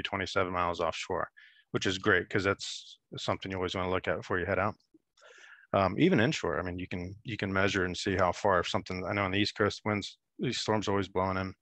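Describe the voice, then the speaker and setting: Man in his thirties, low bright voice, dry headset sound, casual filler-heavy explainer on a video call. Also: no room sound. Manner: relaxed, explanatory, many fillers. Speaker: app demonstrator. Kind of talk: screen-share software demo.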